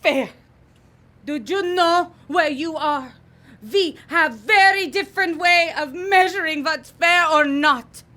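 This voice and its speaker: Woman acting a shrill, scolding she-demon boss. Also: sharp tone, heavily acted. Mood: gloating, stern. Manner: haughty, berating, drawn-out emphasis. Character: scolding, exasperated female middle-manager demon from Hell. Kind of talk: audio drama acting.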